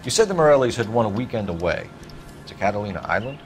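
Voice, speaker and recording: An actor playing a determined young American police detective who questions in a clipped, insistent, businesslike way for a video game. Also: male voice, lowish voice, big pitch swings, quick pace, faint music behind. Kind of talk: dramatic video game acting.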